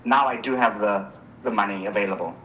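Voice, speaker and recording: A woman's voice: rumbling low, brisk, a bit bright, a little hiss or hum, low-quality recording. A woman from Namibia with a South African accent, a client who talks hesitantly, repeating words and agreeing readily. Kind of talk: casual phone consultation.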